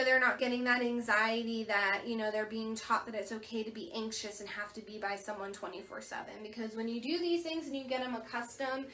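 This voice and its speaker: Woman, soft voice, chatty, many fillers, echoey room, distant mic. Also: a little high. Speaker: veterinary staff member. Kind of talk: informal instructional talk.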